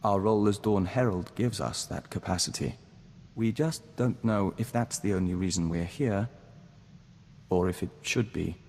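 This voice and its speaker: A man's voice: lowish voice, moderate pace, darkish tone. A man playing a serene diplomat joined to an insect hive mind in a game, speaking formally and with measure, calling himself "we.". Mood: earnest, thoughtful. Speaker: game companion character. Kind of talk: scripted game dialogue acting. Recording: reverberant hall, clear background noise.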